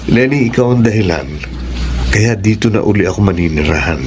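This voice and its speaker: An actor in a Filipino radio drama playing a devoted, tender suitor, speaking earnestly and politely. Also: male voice, on the low side, animated, fairly quick, lightly acted. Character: devoted, tender suitor, returned from America to stay. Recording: clear background noise, vehicle ambience.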